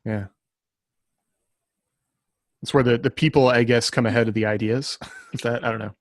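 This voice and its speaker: A man's voice: medium pitch, fairly even intonation, normal speed, dry recording. A man with a mid-range voice talks casually on a group podcast, recorded close and dry. He feels his way through his thoughts tentatively. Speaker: podcast panelist.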